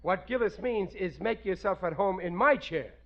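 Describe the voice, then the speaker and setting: Bumbling, high-pitched sitcom dad, muffled old radio. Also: male voice, expressive, normal speed, vocal fry. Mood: eager, jovial. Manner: folksy, ungrammatical, blustering speech. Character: bumbling, blustering working-class family man, eager to please. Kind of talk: 1940s radio sitcom acting. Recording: muffled 1940s radio recording, loud studio audience.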